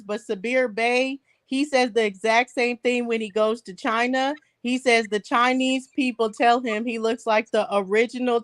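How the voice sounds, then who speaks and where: A woman with a slightly high voice moderates a casual group discussion. She keeps speakers in order in a brisk, friendly way. Her recording is dry, with no room sound. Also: no echo.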